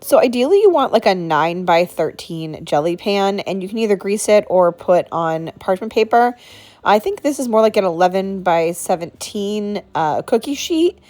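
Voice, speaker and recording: A woman's voice: mid-range voice, animated, normal speed, darkish tone. A woman in her thirties walks through a recipe in a loose, chatty way, full of little asides. Her voice sounds congested, as if she is getting over a sinus infection. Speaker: cooking channel host. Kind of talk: casual recipe walkthrough.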